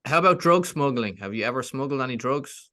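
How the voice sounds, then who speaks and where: A man's voice: mid-range voice, expressive, brisk, pushed and bright. A man interviewing a guest, asking short, direct questions and following up to probe his answers.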